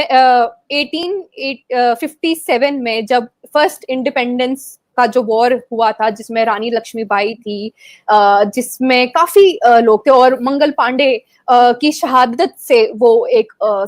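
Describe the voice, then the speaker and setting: A woman from Kashmir, a debate panelist, making her case with forceful rhetorical questions and hesitant fillers. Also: a little high, slow pace, no room sound.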